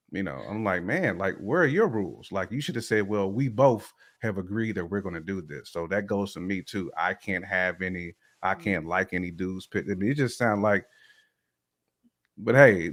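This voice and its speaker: Low-voiced man in casual, emphatic chat. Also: a bit bright. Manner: emphatic, repeats phrases, colloquial.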